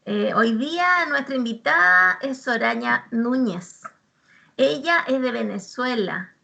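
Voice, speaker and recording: A woman with a Chilean accent, hosting a spiritual interview in a gentle, warm way. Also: on the high side, expressive, gravelly. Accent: Chilean Spanish. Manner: slow, gentle and warm, laughs lightly. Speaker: host, spiritual guide.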